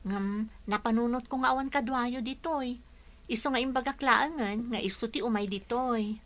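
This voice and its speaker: Casting: actress as earnest, anxious Filipino college girl, high-pitched voice, radio drama. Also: moderate pace, a bit bright, natural voice. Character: anxious young female college student.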